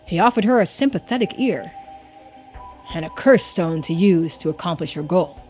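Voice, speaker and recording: A woman's voice: lowish voice, expressive, normal speed, darkish tone, faint music behind. A woman reading video game dialogue aloud expressively as a streamer, playing out the characters. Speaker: streamer voicing a game playthrough.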